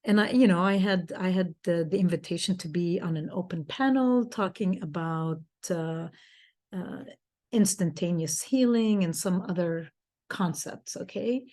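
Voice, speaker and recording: A woman's voice: lowish voice, measured pace. A woman co-hosting a talk show, telling a personal story in a rambling, easygoing way with small laughs. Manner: rambling, restarts, soft laughs. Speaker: co-host and speaker. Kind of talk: storytelling in casual chat.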